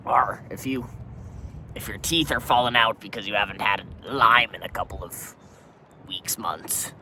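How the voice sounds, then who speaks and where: A man's voice: fairly low pitch, moderate pace, bright, forceful tone, a little hiss or hum. A man voicing a crude, swaggering, unwashed pirate in a comic monologue, rambling offhand and calling everyone "matey" in pirate slang.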